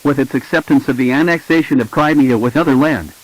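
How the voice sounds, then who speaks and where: A man's voice: mid-range voice, fast talker, rough recording. A man reading a scripted emergency broadcast announcement in a formal, impersonal way.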